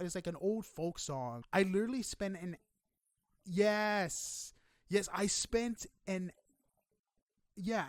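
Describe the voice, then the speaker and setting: Higher-voiced man, enthusiastic podcast host. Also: no room sound. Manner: enthusiastic, chatty, emphatic. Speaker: podcast host interviewing a guest. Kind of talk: casual conversational interview.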